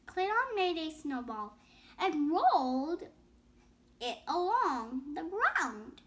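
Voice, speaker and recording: A woman's voice: very high voice, very animated, takes it slowly, a bit bright. A young girl reading a bedtime story aloud with casual explanatory asides, stumbling over words and rereading phrases. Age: child.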